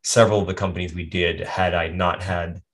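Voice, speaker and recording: Low-voiced American man, thoughtful and rambling. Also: flat delivery, a little fast. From United States. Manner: thoughtful, rambling with restarts and asides. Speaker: guest, health and performance coach. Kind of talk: conversational interview answer.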